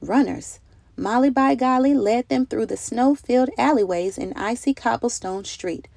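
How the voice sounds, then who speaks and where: A woman's voice: on the high side, normal speed, vocal fry, darkish tone, no room sound. A woman reading a children's story aloud in a dramatic, carefully phrased narrating style with emphatic delivery. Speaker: storybook reader.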